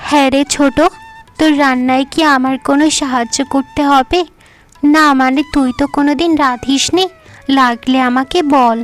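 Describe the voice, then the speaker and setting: A woman voicing a patient, kind elder wife in a village cartoon tale, speaking gently and coaxingly. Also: high voice, fairly even intonation, normal speed, soft background music, natural voice. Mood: gentle.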